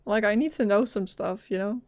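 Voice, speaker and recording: A woman streaming a game live, chatting and reacting in an expressive, dramatic, exclamatory way. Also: mid-range voice, fairly quick, dry recording. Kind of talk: live gameplay reaction chat. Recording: close dry mic over game music. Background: game music.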